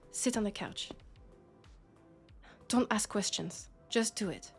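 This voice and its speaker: A woman plays a sharp young sci-fi policewoman with a French accent, scolding and caring at once. She speaks slowly and deliberately, probing with exasperation, over faint music. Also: medium pitch. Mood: urgent, commanding. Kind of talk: intimate scripted roleplay audio drama.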